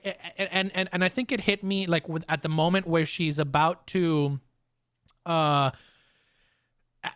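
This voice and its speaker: A man hosting a casual pop-culture discussion, talking haltingly and often repeating his words. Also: high voice, fairly bright tone, no room sound, clean studio sound. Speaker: podcast host.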